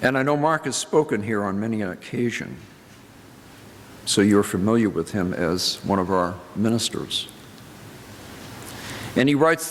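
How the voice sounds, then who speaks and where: An older man speaking as a minister in a reflective church address, with deliberate, halting phrasing and pauses for emphasis. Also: medium pitch. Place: large indoor church sanctuary. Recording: reverberant church hall with background noise.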